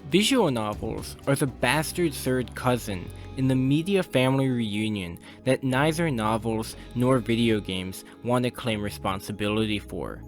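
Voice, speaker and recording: Male essay narrator, mid-pitched and lively in intonation, literary and opinionated, faint music underneath. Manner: opinionated, literary, formal wording. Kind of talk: scripted video essay narration.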